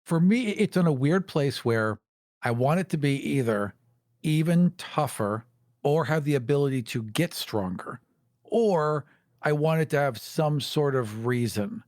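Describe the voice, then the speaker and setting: A man's voice: on the high side, lively intonation, a little slow, a little mellow, dry recording, clean studio sound. A man on a discussion panel, speaking casually in a playful, opinionated way. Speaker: podcast panelist.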